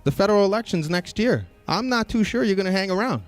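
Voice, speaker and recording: A man in a community candidate debate who challenges his opponent and presses his points hard. His voice sits a bit high and swings widely in pitch. Faint music can be heard behind him. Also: expressive, quick pace. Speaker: election candidate. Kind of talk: debate closing remarks.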